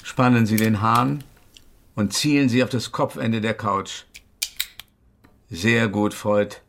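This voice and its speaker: An actor playing a self-important, persuasive scientist in a German radio drama, with a pompous, instructing manner. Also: male voice, on the low side, steady tone, slow, a bit bright, slight background noise. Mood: coolly persuasive.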